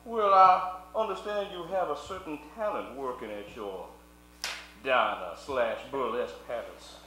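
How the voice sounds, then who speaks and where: A man playing a sly, mysterious underworld caller, coy and halting, in a comic old-time radio play. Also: medium pitch, close to an everyday voice. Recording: echoey room with hum.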